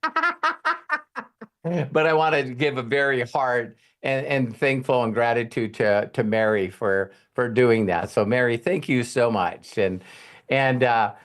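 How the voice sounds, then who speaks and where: A man's voice: mid-range voice, animated, slow pace, fairly bright tone. A man hosts a loose question-and-answer session. He talks bluntly and folksily and curses now and then. His voice sits in an ordinary middle range. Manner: blunt, folksy, with mild cursing. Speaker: host. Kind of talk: casual live Q&A session.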